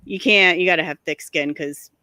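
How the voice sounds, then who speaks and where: A woman who makes motorcycle videos, chatting casually on a livestream from her garage, sarcastic and quick to laugh, with lots of fillers. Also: lowish voice, bright, forceful tone, dry recording. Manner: laughs often, sarcastic, filler-heavy storytelling. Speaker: guest, motorcycle video creator. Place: home garage.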